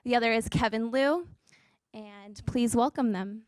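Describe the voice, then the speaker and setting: A woman introducing the speakers at a conference in a bright, upbeat announcing manner. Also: fairly high pitch, a little slow, dry recording. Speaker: conference emcee. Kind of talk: stage introduction.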